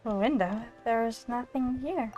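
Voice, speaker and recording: A woman with a fairly high voice, playing a video game and commenting casually. She reacts in an exclamatory way, with game music clearly audible behind her. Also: normal speed, mellow, muffled recording. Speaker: gamer reacting to a story quest.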